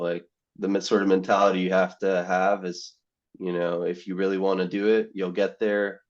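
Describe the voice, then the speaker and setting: A young man with a very low voice talks in a near-monotone on a podcast, looping through "you know" and false starts as he answers. The audio is a little muffled and lo-fi. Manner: rambling, full of "you know" and restarts. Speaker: guest, medical student. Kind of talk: casual podcast interview.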